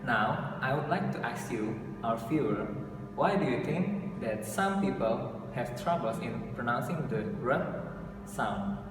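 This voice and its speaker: A young man with an Indonesian accent, an English literature student, giving an earnest, steady explanation in a class video. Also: medium pitch, unhurried, heavy background noise. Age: young adult. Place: classroom, indoors. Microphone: camera mic from a few meters. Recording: echoey room, distant mic, music underneath.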